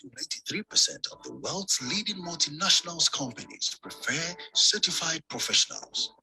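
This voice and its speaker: A man's voice: fairly high pitch, expressive, moderate pace, bright, forceful tone, rough recording. Man reading a polished promo ad. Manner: polished, persuasive. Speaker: advertisement voice-over. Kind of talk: promotional ad read.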